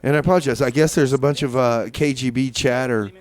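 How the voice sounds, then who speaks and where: A man's voice: medium pitch, fairly even intonation, fast. A man hosting a music radio show with casual, hesitant, tongue-in-cheek patter, often repeating phrases for effect. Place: radio studio.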